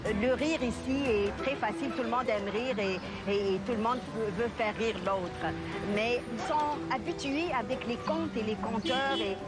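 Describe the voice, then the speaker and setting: A woman host from Tunisia, narrating and chatting in a lively way with many fillers. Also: on the high side, moderate pace, over loud music. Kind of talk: documentary narration and street interviews.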